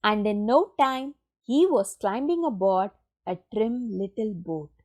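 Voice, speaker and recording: A woman reading a children's picture book aloud in an expressive, deliberate storytelling manner with dramatic pauses. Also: medium pitch. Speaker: storybook reader.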